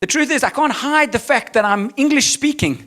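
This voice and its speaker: South African male pastor, conversational preaching with pauses for interpretation, candid and self-deprecating. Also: very high-pitched, a bit bright. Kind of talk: sermon with live interpreter.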